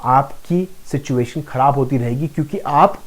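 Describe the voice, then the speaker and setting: A man's voice: medium pitch, a little slow. A man giving a motivational self-help lesson, explaining steadily and repeating key phrases for emphasis, with English phrases mixed into his Hindi. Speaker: law of attraction coach. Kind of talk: motivational talk to camera.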